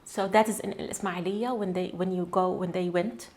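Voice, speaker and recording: A woman whose first language is Arabic, speaking English as an interview guest in an earnest, halting way with frequent restarts. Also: on the low side, steady tone, a little mellow. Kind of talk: conversational interview.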